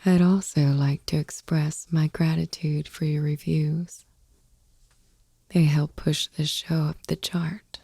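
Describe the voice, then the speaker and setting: Whispering, breathy woman, very slow. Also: low voice, level tone, no echo. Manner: soft, slow, soothing, measured. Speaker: podcast host reading poetry. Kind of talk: ASMR poetry reading for sleep. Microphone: close microphone.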